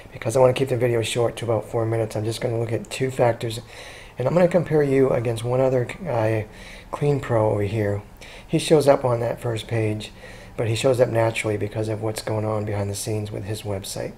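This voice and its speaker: A man talking casually through a screen-recorded walkthrough as a consultant, chatty and relaxed, with frequent ums and restarts. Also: mid-range voice, a little hiss or hum. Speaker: SEO consultant pitching a business.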